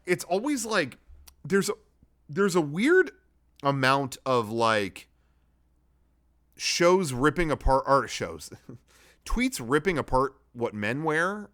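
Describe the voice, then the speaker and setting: A man's voice: a little high, very animated, slow, long pauses, fairly bright tone. A man hosting a comedy web show, reading out his own drafts and adding blunt, sweary, casual commentary.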